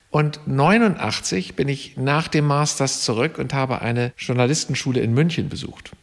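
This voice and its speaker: A man in his sixties speaks with a gravelly, creaky voice, candid and reflective as he recounts his path. His words carry a long reverb from the room around him. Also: medium pitch. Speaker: estate owner, farmer and former journalist. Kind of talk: conversational interview answers.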